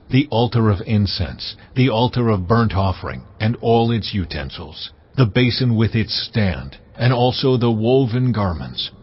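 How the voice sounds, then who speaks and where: An actor playing the Lord God as a solemn, stern, commanding deity in a dramatized scripture reading, measured and deliberate. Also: male voice, lowish voice, husky. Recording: dull band-limited sound with music behind. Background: background music.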